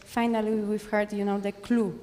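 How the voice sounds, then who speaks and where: A woman from the audience, speaking English as a philosopher at a conference, direct and insistent, often pausing with fillers. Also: mid-range voice, flat delivery, mellow, big-room sound. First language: Polish. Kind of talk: conference floor remarks. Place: conference hall.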